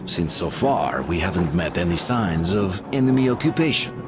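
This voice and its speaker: A man's voice: low voice, big pitch swings, moderate pace, noisy background. An actor voicing a reflective, sober male survivor narrating his journey in a scripted game style. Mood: somber, reflective. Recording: music under the voice.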